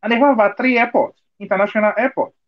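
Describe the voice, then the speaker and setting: Earnest man, high voice, lo-fi call. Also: quick pace. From Nigeria. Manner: earnest, argumentative, many fillers and rhetorical checks. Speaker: discussion participant. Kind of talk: online group discussion. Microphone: video call.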